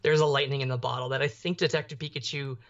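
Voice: mid-range voice, level tone, quick pace. Dull-sounding, rambling young podcast co-host. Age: young adult. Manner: rambling, hesitant, searching for words. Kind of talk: casual pop culture discussion.

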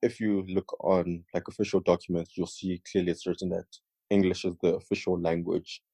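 A man's voice: low voice, level tone, a little fast, warm tone, breathy edge, no echo. A young South African man co-hosting a podcast, chatting casually and joking with his co-host.

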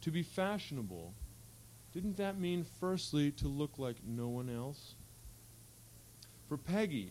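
A man's voice: mid-range voice, darkish tone, rough recording. Man reading fiction aloud, faint hum behind. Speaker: reader at a literary event. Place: live public reading at an event. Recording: faint hum and background noise.

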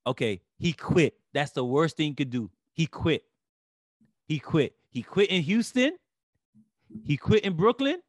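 A Haitian-accented man argues basketball on a podcast, his somewhat high voice climbing toward shouts as he presses his point. The sound is dry and close, with no room around him. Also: animated, measured pace, dry recording. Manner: emphatic, rising to near shouts when worked up. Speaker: podcast co-host. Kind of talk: heated sports debate.

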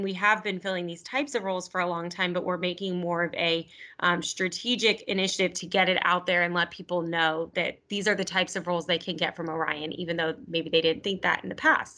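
A woman's voice: mid-range voice, bright, forceful tone. A woman hosting a podcast, reading a scripted intro and then chatting upbeat with her guest. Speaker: podcast host.

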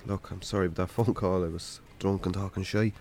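An actor playing a weary, defensive middle-aged Irish farmer who drinks too much, curt and evasive, in naturalistic radio drama. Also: male voice, low-pitched, fairly even intonation, brisk, a little mellow, slight background noise. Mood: evasive, awkward.

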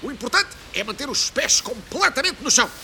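Um homem a fazer de operário português gabarola e muito estridente, voz agudíssima. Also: male voice, very high-pitched, fairly quick, vocal fry, slight background noise, heavily acted. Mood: boastful. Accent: European Portuguese. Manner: bombastic, excitable, swearing. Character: boastful, foul-mouthed immigrant car-factory worker, excitable man. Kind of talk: exaggerated comedy sketch acting.

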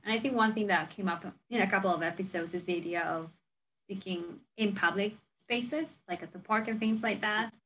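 A woman's voice: medium pitch, brisk, a bit bright, slight background noise, poor audio. A chatty young woman you might hear hosting a parenting podcast, casually thinking things through with a colleague. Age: young adult. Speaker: host and researcher. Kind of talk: casual reflective conversation.

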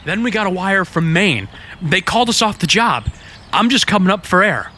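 An actor playing an earnest, plainspoken young American detective in love, in vintage radio drama style. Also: male voice, sits high, animated, normal speed. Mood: matter-of-fact.

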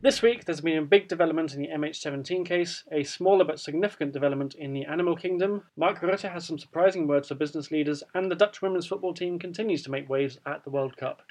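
A man's voice: on the high side, fast talker, hardly any pauses, darkish tone. A man co-hosting a news podcast, chatting casually and then reading news items, laughing easily. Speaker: podcast co-host. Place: lives in the Netherlands.

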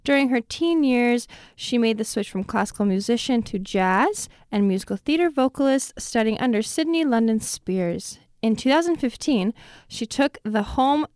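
A woman's voice: fairly high pitch, lively intonation, moderate pace, darkish tone, dry recording. Woman radio host, close dry sound. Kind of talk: reading a guest introduction in studio. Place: radio studio.

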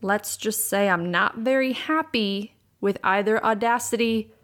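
A young adult woman hosting a solo podcast, with a raspy voice and vocal fry worn from recording many times, talking candidly and repeating words for emphasis. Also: mid-range voice, a little slow, a bit bright. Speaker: podcast host. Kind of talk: casual solo podcast talk. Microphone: USB condenser microphone.

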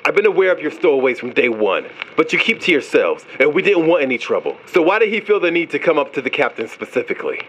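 A man's voice: fairly high pitch, very animated, quick pace, hardly any pauses, a bit bright, a little hiss or hum, poor audio. An actor playing a stern ship's investigator in an audio drama, firm, commanding and skeptical as he questions a suspect. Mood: firm, probing. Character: stern, authoritative male ship's investigator running an interrogation.

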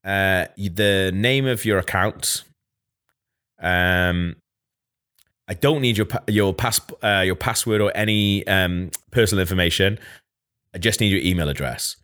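A man with a slightly low voice hosts a casual podcast. He tells his story loosely, swears freely and puts on mocking voices for the people he quotes. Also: measured pace, a bit bright. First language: Welsh. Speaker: podcast host.

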